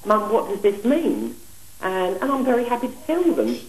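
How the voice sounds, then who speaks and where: A roomy, echoing call from a middle-aged woman. Her pitch is average, and she offers her opinion conversationally, calm and measured. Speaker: phone-in caller.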